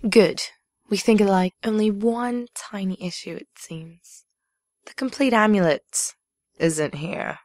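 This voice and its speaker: A woman's voice: mid-range voice, slow pace, a little mellow, no echo, close to an everyday voice. Woman playing a teasing, sinister villainess, close and dry. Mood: coolly smug. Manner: teasing, theatrical, dropping into breathy murmurs. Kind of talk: fan audio drama acting.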